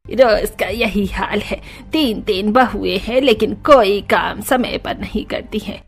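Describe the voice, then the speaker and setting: A woman voicing a sharp-tongued older aunt in a cartoon story, sneering and complaining. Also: mid-range voice, unhurried, lightly acted. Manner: sneering, taunting. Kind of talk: Hindi cartoon story dialogue.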